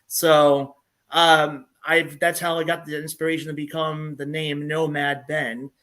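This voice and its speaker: A man's voice: a little high, level tone, unhurried, a bit bright. A man in his thirties with a Southern US country accent, a guest vlogger who talks in a friendly, rambling way and restarts his sentences a lot. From Illinois. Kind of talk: casual interview talk.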